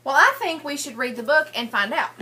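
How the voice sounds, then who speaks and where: A woman's voice: high-pitched, expressive, brisk, pushed and bright, a little hiss or hum. A woman, a teacher reading a picture book to young children, asking questions and repeating their answers. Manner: often repeats children's answers back, prompting them with questions. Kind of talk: interactive read-aloud lesson with questions and explanations.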